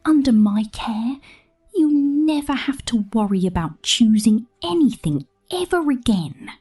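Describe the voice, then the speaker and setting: A woman playing a possessive, condescending mechanic captor in an ASMR roleplay, speaking softly and half-whispered with playful menace. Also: on the high side, expressive, slightly rough, soft background music. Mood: controlling, coaxing. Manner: soft, half-whispered, slow, teasing.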